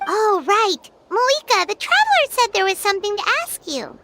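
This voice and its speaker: A woman voicing a small, excitable fairy companion with a squeaky, childlike voice in a video game. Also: normal speed, very noisy, heavily acted. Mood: chirpy.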